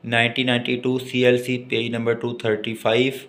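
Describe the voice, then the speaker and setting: A man with a Pakistani accent giving a lecture, reading notes aloud deliberately and explaining them. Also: mid-range voice, completely flat delivery, a bit bright. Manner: slow, deliberate when reading English, explains in Urdu. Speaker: law lecturer.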